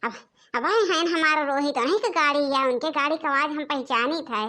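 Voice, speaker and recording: Woman as a high-voiced, scheming young bride. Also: moderate pace, sharp tone. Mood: impatient, scheming. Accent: Awadhi. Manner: talks to herself. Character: sneaky young village bride planning to elope. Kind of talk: animated comedy monologue in cartoon.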